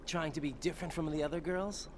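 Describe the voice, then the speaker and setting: A man plays a calm young male operative from a video game. He speaks quietly and evenly, and sounds reassuring. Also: fairly high pitch, quick pace, noisy background, lightly acted.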